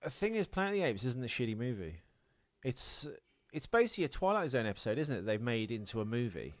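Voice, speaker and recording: A man hosting a casual film podcast, chatting in an animated, teasing, mock-incredulous way with his co-host. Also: medium pitch, fast talker, no echo. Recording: music playing behind the talk. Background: orchestral film score.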